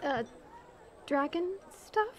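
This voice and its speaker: A woman's voice: very high voice, expressive, takes it slowly, some chatter behind, slight background noise, heavily acted. A woman playing a proud, flustered young dragon princess with haughty, formal denials in an audio drama. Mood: sulky.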